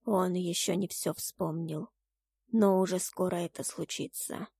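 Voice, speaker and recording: Stern male deity voiced by a woman, lowered pitch, grave commanding delivery, fantasy audiobook, dry recording. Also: fairly even intonation, a little slow, gravelly, mellow, slightly rough, no room sound, heavily acted. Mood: grave warning. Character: stern, solemn male god pronouncing grim destiny. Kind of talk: dramatic fantasy audiobook acting.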